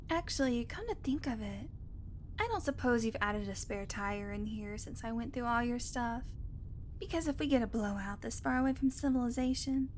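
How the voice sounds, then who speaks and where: A snarky female vampire played by a woman in an audio roleplay, with a fairly high voice. She complains theatrically and teases in a casual, sweary way. A noticeable rumble sits behind her. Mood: bossy, grumbling. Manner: sarcastic, teasing, dramatic complaining, casual swearing. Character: snarky, irreverent female vampire road-trip passenger, teasing and bored. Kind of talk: audio roleplay acting, conversational monologue to the listener.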